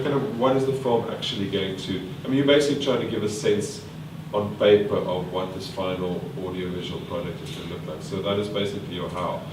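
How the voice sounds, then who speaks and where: Man, workshop trainer, quizzes the room, many fillers, distant mic, background noise. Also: sits low. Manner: thinks aloud with fillers and restarts. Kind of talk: teaching a workshop, quizzing the group. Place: large meeting room with a live group. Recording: echoey room from far off, noisy.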